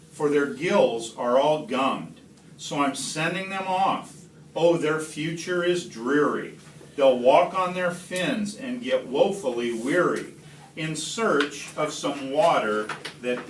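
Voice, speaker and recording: A man's voice: fairly high pitch, animated, slow pace. A man voicing a grumpy, mournful storybook creature who scolds a polluter in reproachful rhyme. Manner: reproachful, rhyming. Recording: roomy, distant phone capture with noise.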